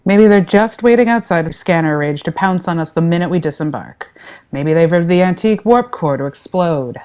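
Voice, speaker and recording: A woman's voice: on the low side, moderate pace, natural voice. A woman playing a no-nonsense, jaded starship captain with a dry delivery in a sci-fi comedy play. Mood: firm, wary. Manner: dry, deadpan.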